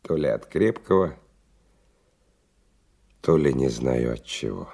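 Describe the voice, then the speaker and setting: An actor in a radio play voicing a hard-drinking, world-weary male stalker, brooding and coarse in his colloquial speech, with a husky low voice. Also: lively intonation, slow, mellow, exaggerated voice. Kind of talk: radio play monologue and dialogue.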